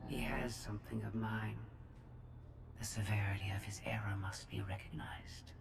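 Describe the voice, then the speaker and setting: A woman playing a cold, superior female artificial intelligence in a video game, speaking in a formal, measured, detached way with a low, creaky voice. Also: steady tone, background noise. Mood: cold, aloof. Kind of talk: video game cutscene acting.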